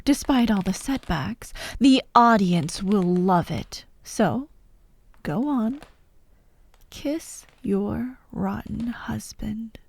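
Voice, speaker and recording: Girl doing a sadistic actress villain, slow n taunting, theatrical, kinda whispery, asmr roleplay. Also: a little high, a little mellow, dry recording. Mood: impatient, demanding. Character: vengeful fired actress, sadistic hostage-taker directing victims. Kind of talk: ASMR roleplay audio drama.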